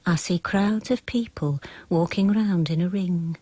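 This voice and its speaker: An actress playing a mysterious clairvoyante reading tarot cards in dramatic verse, with a breathy, husky, ominous delivery. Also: female voice, fairly low pitch, measured pace, mellow, no echo. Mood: hushed, mysterious. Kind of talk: dramatic poetry recital.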